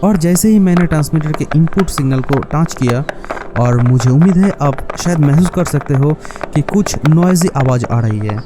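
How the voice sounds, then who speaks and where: A man's voice: a little high, animated, normal speed, noisy background. A man narrating a scripted electronics tutorial, explaining step by step, speaking directly to viewers and mixing in English phrases. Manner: explanatory, addresses viewers directly with rhetorical questions; mixes English words and phrases into speech. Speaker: host of a DIY electronics tutorial.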